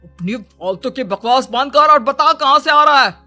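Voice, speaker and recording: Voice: high voice, expressive, normal speed, sharp tone, exaggerated voice. An actor voicing a stern, exasperated middle-aged father in a cartoon story, nagging and scolding his lazy son. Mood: angry, stern. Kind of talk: Hindi cartoon story acting. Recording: music under the voice.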